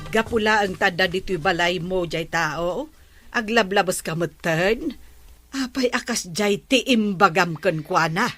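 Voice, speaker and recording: A woman's voice: lowish voice, a little slow, fairly bright tone, soft background music. An actress playing a sensible village woman who gives firm, reproving advice in a radio drama. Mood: reproving, reasoning. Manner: firm, admonishing.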